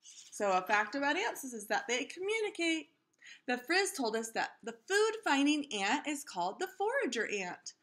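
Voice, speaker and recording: A woman reading a children's story aloud expressively, pausing to explain things to her listeners. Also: high-pitched, big pitch swings, a bit bright. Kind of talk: children's read-aloud narration.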